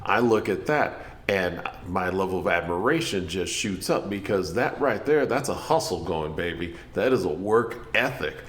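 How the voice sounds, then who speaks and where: Middle-aged man, low voice, enthusiastic co-host, echoey room. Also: expressive, normal speed. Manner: enthusiastic, playful, acts out quotes. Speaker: podcast co-host. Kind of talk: casual music chat.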